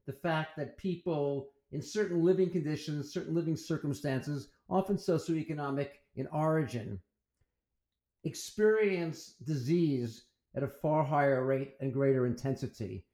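Host: man, mellow and fairly high, deliberate questioner, echoey room sound. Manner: measured, deliberate questioning with ums. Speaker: interviewer host. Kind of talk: podcast interview questions. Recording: roomy echo.

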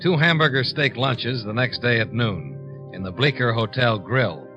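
A man's voice: medium pitch, animated, measured pace, dull audio, music in the background, lightly acted. An actor playing a cool, probing male investigator with a clipped, dry delivery in a 1950s radio detective drama. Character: cool, probing male insurance investigator narrating his case.

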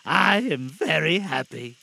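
A man's voice: a little high, animated, takes it slowly, gravelly, pushed and bright, heavily acted. A man voicing a cheerful, tender-hearted working-class father fighting back grief, earnest and affectionate, in a dramatised storybook reading. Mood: tender, solemn.

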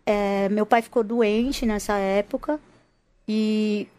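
A woman's voice: mid-range voice, steady tone, takes it slowly, a little mellow. Hesitant, laughing woman podcast guest. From Rio de Janeiro. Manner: hesitant, many restarts and laughs. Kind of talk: casual chat.

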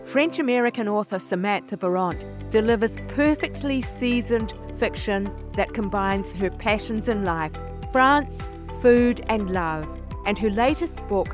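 Woman podcast host narrating over music. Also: medium pitch. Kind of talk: scripted introduction and sign-off narration.

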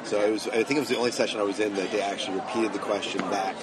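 A man with a fairly low voice interviews someone casually amid clear cafeteria chatter. He sounds friendly and tends to say things twice. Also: fairly even intonation, very fast. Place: cafeteria at a convention. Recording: busy cafeteria crowd behind voice.

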